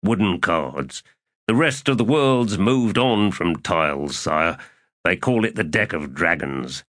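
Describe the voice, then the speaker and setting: A man voicing a weary, deadpan manservant, low-pitched. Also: slow, dry recording, studio quality, heavily acted. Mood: grave, weary. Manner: deadpan, understated. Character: weary, dry manservant, secretly an elder god. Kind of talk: audiobook character dialogue.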